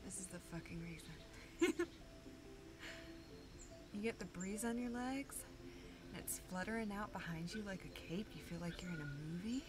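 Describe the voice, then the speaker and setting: A woman playing a playful, teasing young woman in an intimate roleplay audio, talking to the listener as a close, affectionate friend in halting, natural phrases. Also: mid-range voice, over music, natural voice. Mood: light, amused.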